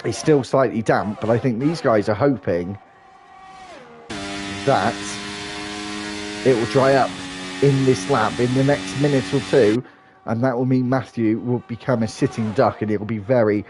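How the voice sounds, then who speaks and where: A man giving live commentary on an online sim race, talking in a steady running flow with small restarts and sounding a bit stuffy from hay fever. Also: mid-range voice, moderate pace, long pauses, music in the background.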